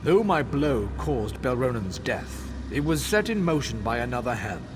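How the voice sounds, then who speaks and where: Grave, formal temple servant played by a man, animated delivery. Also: mid-range voice, normal speed, close to an everyday voice. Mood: resolute, deferential. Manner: formal, deferential, earnest. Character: grave, dutiful male temple spy, humbly loyal. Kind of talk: scripted fantasy game dialogue. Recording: game ambience noise behind.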